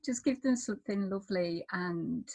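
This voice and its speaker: A woman giving encouraging, chatty panel advice as a television writer, often softening points with filler phrases. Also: mid-range voice, normal speed. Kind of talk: informal panel advice at an online event.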